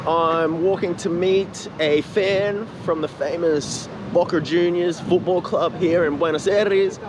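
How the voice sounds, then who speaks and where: A man with an Australian accent, a native English speaker, giving excited, informal vlog commentary outdoors. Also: high-pitched, slow pace, noisy background. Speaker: travel vlogger. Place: outdoors on busy city streets. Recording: outdoor street with crowd and music behind. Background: loud crowd and music.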